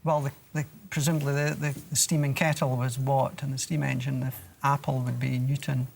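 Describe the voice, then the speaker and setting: Gravelly, halting man from Scotland. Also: mid-range voice, little pitch movement, slight background noise. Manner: halting, thinking aloud, many fillers. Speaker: quiz team captain. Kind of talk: quiz answering under time pressure. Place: television studio.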